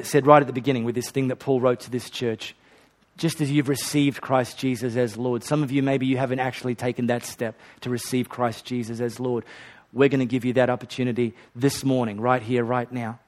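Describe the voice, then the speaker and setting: A man's voice: medium pitch, steady tone, moderate pace, a little mellow. A male pastor preaching a sermon and leading an altar call in a church. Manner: earnest, leads a repeat-after-me prayer. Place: hot church auditorium.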